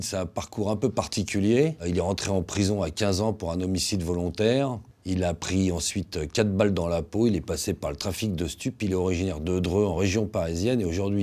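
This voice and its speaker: A male host with a fairly low voice guides the conversation. He asks relaxed, probing follow-up questions in plain, unhurried speech. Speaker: interviewer and host. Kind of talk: conversational interview.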